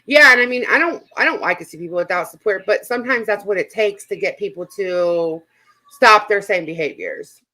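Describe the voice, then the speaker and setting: A woman's voice: mid-range voice, expressive, a little fast, pushed and bright, poor audio. A woman hosting a live stream with casual reaction commentary, rambling and emphatic, often repeating herself.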